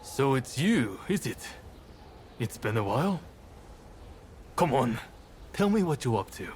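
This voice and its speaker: A man's voice: mid-range voice, big pitch swings, measured pace, darkish tone, slight background noise. A man playing a wary, dignified samurai swordmaster in a video game. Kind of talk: video game cutscene acting.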